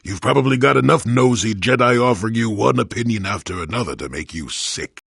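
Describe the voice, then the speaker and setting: An actor playing a cantankerous, wry old male Jedi hermit in a video game, with a gravelly, husky voice and a grumbling, sardonic manner. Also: on the low side, a little fast, no echo, clean studio sound, heavily acted. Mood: wry, musing. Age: older. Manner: grumbling, sardonic, theatrically expressive. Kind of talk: video game character dialogue.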